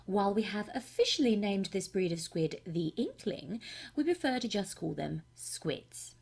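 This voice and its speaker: A woman's voice: mid-range voice, lively intonation, moderate pace, vocal fry, a little mellow, breathy edge. A woman voicing a genial, quirky research scientist presenting scripted narration for a video game. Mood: genial, explanatory.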